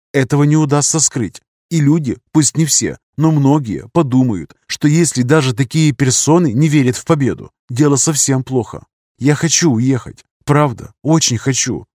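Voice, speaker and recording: A man voicing a calm, resolute young wife and mother in an audiobook, speaking softly and earnestly in a lifted voice. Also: no room sound, studio quality, natural voice. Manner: soft, earnest, slightly raised pitch. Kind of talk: audiobook character reading.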